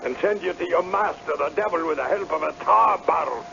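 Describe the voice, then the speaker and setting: Gravelly, blustering laird. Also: male voice, on the high side, lively intonation, a little fast, sharp tone, exaggerated voice. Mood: furious. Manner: theatrical, commanding, blustering. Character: imperious, crafty, blustering old Scottish laird. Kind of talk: old-time radio drama acting. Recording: dull, hissy vintage radio broadcast.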